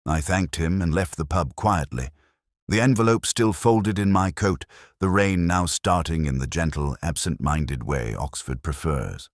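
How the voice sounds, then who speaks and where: Very deep-voiced man narrating a detective story. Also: no echo, clean studio sound. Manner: measured, literary, reflective delivery. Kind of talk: first-person scripted detective story narration.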